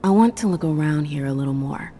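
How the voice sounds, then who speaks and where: A woman's voice: deep voice, lively intonation, fairly quick, mellow, a little hiss or hum. An actress playing a determined, persuasive female vampire in a video game cutscene, assertive and blunt. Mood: casual.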